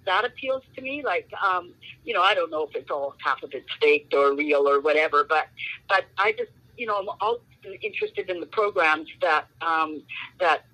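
A woman's voice: low voice, expressive, moderate pace, sharp tone, rough recording. A woman, a guest author chatting in an interview, rambling and full of fillers like um and you know. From Canada. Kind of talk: casual interview chat.

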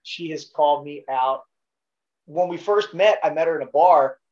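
A man's voice: a little high, moderate pace, dull audio, a crowd in the background. Profane Baltimore man telling stories. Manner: profane, storytelling, laid-back. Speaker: podcast guest, former Navy SEAL. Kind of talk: casual chat.

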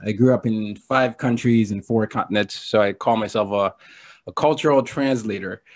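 A man with a fairly low voice speaks as a podcast guest. He is from Nigeria and talks in a relaxed, joking storytelling way. He laughs easily between his stories. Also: normal speed. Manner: playful, joking, laughs often. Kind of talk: casual storytelling interview.

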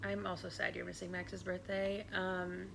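Sniffly young woman with gravelly low voice. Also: little pitch movement, moderate pace, noisy background. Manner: chatty, laughing, drops to a whisper for secrets. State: sniffly from allergies. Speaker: podcast co-host. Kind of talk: casual chat.

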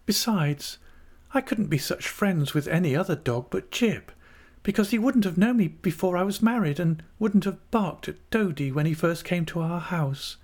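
Man voicing a high-pitched, childlike, frail young wife. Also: animated, normal speed, darkish tone. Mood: faint, pleading. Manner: plaintive, simple, childlike.

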